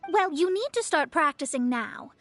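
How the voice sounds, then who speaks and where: High-voiced haughty young master. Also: female voice, animated, moderate pace, a bit bright. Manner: haughty, self-assured. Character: proud young woman martial-arts master, haughty but secretly generous. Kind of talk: anime game voice acting. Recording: game music behind the voice.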